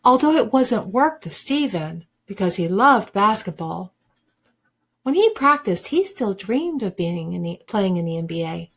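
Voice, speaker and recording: A woman reading a children's book aloud like a teacher, with deliberate, emphatic phrasing for young listeners. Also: medium pitch, big pitch swings, breathy edge. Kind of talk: expressive storybook read-aloud.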